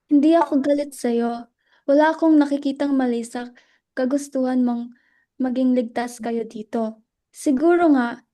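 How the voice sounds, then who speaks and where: A woman's voice: fairly high pitch, mellow, lightly acted. A woman with a Filipino accent playing a wounded, self-sacrificing wife in a dramatic reading. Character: wounded, self-sacrificing drug suspect's wife, resigned and gentle.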